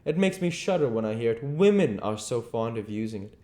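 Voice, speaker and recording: A man's voice: mid-range voice, lively intonation, fairly quick, dark tone, voice pushed up, lightly acted. A man voicing an eloquent, cynical male aristocrat with an impassioned, grandiloquent delivery in a storybook reading. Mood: dramatic, disdainful. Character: Lord Henry, cynical eloquent aristocrat preaching youth and pleasure.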